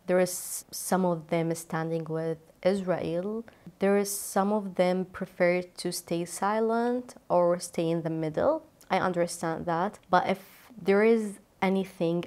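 A woman's voice: fairly low pitch, a little mellow. A young woman from Gaza speaking non-native English with a Palestinian Arabic accent, answering interview questions earnestly and explaining with examples. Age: 20s. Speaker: interview guest. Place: dark studio, indoors. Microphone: lapel mic.

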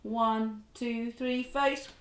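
A middle-aged woman leading a children's drama class and telling a story in an animated, expressive, encouraging way. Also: a little high, extremely slow, reverberant.

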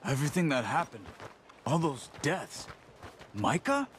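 An actor playing a calm, serious outlaw companion in a Western video game, speaking in measured, terse phrases. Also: male voice, on the high side, slight background noise. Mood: grave. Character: calm, grave male outlaw companion in the Old West.

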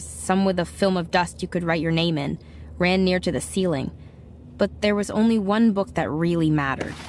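An actress voicing a wry, troubled teenage girl who narrates an audio drama in a confiding, measured way. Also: lowish voice, a little hiss or hum, close to an everyday voice.

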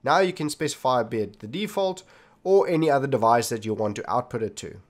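A man's voice: mid-range voice, lively intonation, brisk. A man hosting a tech tutorial, giving step-by-step instructions straight to viewers as he demonstrates on his computer. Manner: instructional, addresses viewers directly. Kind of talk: scripted-feeling screen tutorial narration, step by step. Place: indoors at a desktop computer, voice recorded while demonstrating. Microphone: desktop computer microphone.

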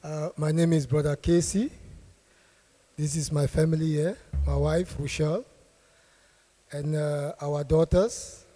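Soft-toned man introducing his family. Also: on the high side, fairly even intonation. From Cameroon. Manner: halting, polite, with fillers. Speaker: visiting church member. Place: church hall. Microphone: handheld microphone.

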